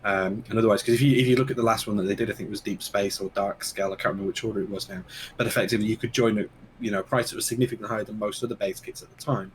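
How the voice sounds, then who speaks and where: A man co-hosting a casual keyboard podcast, losing his voice and talking in halting bits full of fillers. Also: fairly low pitch, fairly even intonation, slight background noise, rough recording. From United Kingdom. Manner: halting, many fillers and restarts. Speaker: podcast co-host. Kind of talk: casual chat about keyboards.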